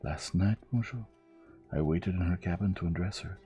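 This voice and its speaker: A man voicing a sly, excitable young French lady's maid in an audiobook, with a French accent and an emphatic, pleading manner. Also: deep voice, moderate pace, muffled recording, faint music behind, pitched above the performer's own voice, close to an everyday voice. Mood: wary, deferential. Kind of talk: audiobook character voice.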